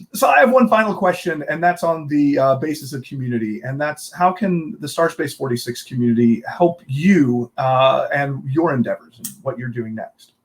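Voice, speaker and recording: A man's voice: medium pitch, animated, normal speed. A man hosting a casual interview, enthusiastic and agreeable, laughing readily with his guest. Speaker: interview host.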